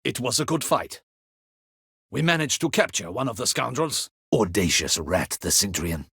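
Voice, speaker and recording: An actor playing a proud, stern captain of a fantasy ducal guard in a video game, speaking formally in clipped, commanding, archaic courtly phrases. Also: male voice, mid-range voice, lively intonation, moderate pace, gravelly, a bit bright, husky, no echo, clean studio sound, exaggerated voice. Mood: grave, determined. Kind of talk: dramatic video game voice acting.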